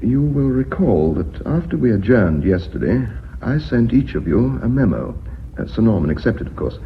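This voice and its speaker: An actor playing a measured, polite British company secretary in a radio drama, speaking formally and methodically. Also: male voice, medium pitch. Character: measured, deferential company secretary and bookkeeper revealing his scheme. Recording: muffled, noisy old radio recording.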